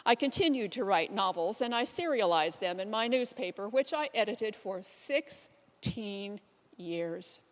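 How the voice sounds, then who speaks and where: Readers theater role: determined pioneer suffragist, played by a woman, measured monologue, mid-range voice ringing in a hall. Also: mid-range voice, lively intonation, natural voice. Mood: measured recounting. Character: elderly pioneer suffragist, determined and plain-spoken, recounting her life. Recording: reverberant hall sound.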